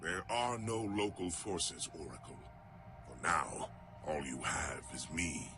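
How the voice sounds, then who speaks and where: A man's voice: rumbling low, sing-song, slow, heavily acted. A man playing a commanding alien warrior in a game cutscene, speaking in a stately, formal and weighty manner over music. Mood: grave, foreboding.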